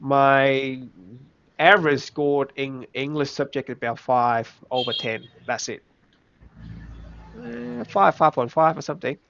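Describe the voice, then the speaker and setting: A young man from Vietnam with a Vietnamese accent, speaking English as a second language in a casual interview, halting, with many restarts. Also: mid-range voice, creaky, fairly bright tone, a little hiss or hum. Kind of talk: casual reflective chat. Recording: slightly dull, dry recording.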